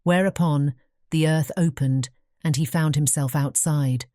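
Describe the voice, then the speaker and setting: A woman narrating a bedtime fairy tale as a measured, careful scripted storybook reading. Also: deep voice, mellow, no room sound, clean studio sound.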